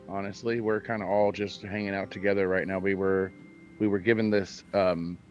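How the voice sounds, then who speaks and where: A man's voice: low-pitched, dull audio, a little hiss or hum, lightly acted. A man playing a friendly, curious adventurer in a tabletop fantasy game, chatty and apologetic, often repeating his words. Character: friendly, polite, curious adventurer with bugbear lineage.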